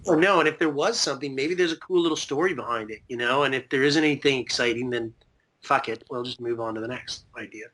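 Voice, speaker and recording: A man's voice: medium pitch, quick pace, a bit bright, low-quality recording. A man in his thirties, a podcast guest, rambling in casual chat with lots of fillers and casual swearing. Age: 30s.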